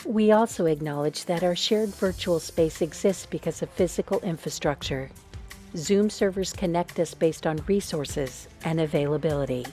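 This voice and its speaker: A woman reading a formal land acknowledgement in a measured, scripted manner. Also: sits low, dark tone, soft background music. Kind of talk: scripted narration.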